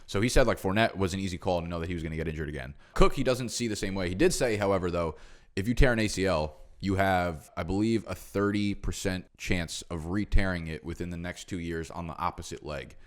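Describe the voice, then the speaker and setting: A man with a low, deep voice co-hosts a fantasy football show. He talks loosely and rambles, with plenty of swearing. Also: fast talker. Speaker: podcast co-host. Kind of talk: casual fantasy football chat.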